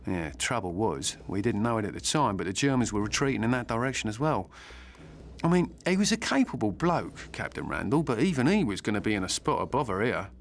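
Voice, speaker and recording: A wry British paratrooper, low-voiced. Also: male voice, big pitch swings, a little fast, a little hiss or hum. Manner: chatty, wry soldier's storytelling. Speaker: paratrooper narrator. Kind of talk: game cutscene narration. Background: war score music.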